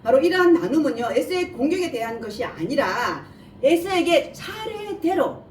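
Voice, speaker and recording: A woman delivers a sermon in a fairly high, lively voice with a regional Korean dialect. Her articulation is a little slurred and lispy as she walks through scripture and poses questions. She sounds some distance from the microphone in an echoey room. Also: normal speed. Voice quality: slightly imprecise, lisping articulation. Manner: asks listeners rhetorical questions and answers them. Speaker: preacher giving a Bible message. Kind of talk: sermon, explaining scripture verse by verse. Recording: echoey room, distant mic, faint noise.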